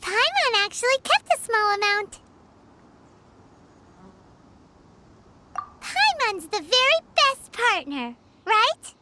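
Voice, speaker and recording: A woman voicing a tiny childlike girl companion in a video game, cheeky and boastful, with a squeaky voice. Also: big pitch swings, unhurried, very noisy, heavily acted. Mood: eager, proud. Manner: refers to self in third person, perky. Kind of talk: scripted video game dialogue.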